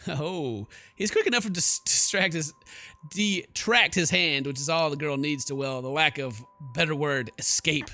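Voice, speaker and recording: A man streaming a game, giving animated, jokey commentary and reading the story narration aloud. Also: on the high side, normal speed, soft background music. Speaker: gaming streamer.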